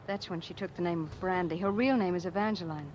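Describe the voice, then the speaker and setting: A woman's voice: on the low side, fast, a little mellow, natural voice. A woman acting a fragile, anxious young working woman in a 1940s radio drama. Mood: subdued, wistful. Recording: muffled, noisy old radio recording.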